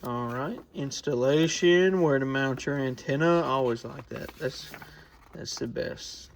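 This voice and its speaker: A man with a medium-pitched voice talks through a product review. His delivery is informal and off the cuff. He thinks aloud as he goes, like a host showing something on his bench. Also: unhurried, creaky, slight background noise. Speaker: host of a radio shop's review channel. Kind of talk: casual hands-on product review.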